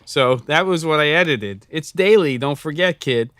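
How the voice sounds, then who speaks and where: Casting: male co-host, smooth clear voice, dry close sound, loose rambling chat. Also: a little high, lively intonation, normal speed, a bit bright, no echo. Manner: chatty, rambling, laughs often. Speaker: podcast co-host. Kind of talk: casual chat.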